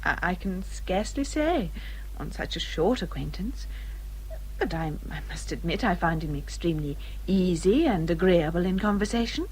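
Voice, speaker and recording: An actress playing a polite, self-possessed young English gentlewoman, earnest and quietly firm, in a period radio drama. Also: lowish voice, expressive, normal speed, slight background noise, rough recording. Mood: guarded earnestness. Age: young adult.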